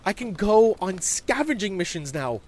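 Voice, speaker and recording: A man's voice: on the high side, animated, moderate pace, a little hiss or hum, pitched above the performer's own voice, natural voice. A man voicing an eager, proud young boy in a narrated story, earnest and prone to stammering. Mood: insistent.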